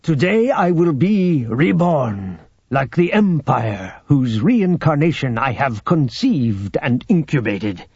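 A man voicing an imperious cult lord, grandiose and fervent, in slow declamatory oratory. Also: a little high, big pitch swings, a little mellow, breathy edge, no room sound, muffled recording, exaggerated voice. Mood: grandiose, triumphant.

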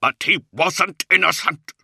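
A man voicing an anguished, bitter knight commander sliding toward madness, impassioned and full of weighted pauses, in a dramatic audiobook. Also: low-pitched, expressive, sharp tone, no room sound, studio quality, full character voice. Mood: bitter accusation. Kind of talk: dramatic audiobook character acting.

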